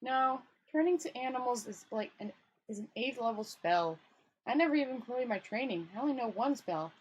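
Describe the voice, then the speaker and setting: A woman's voice: on the high side, lively intonation, brisk, slightly rough, highs cut off. A woman voicing an irritable, hopeless male failed wizard in a grumbling, fretful storybook read-aloud. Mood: gloomy.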